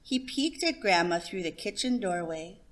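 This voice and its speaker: A woman's voice: medium pitch, sing-song, normal speed. A woman storyteller giving a scripted narration of a children's story.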